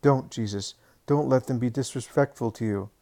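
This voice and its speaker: A man's voice: medium pitch, moderate pace, warm tone, natural voice. A man voicing a worried townsman who confides town gossip in an earnest, sympathetic way.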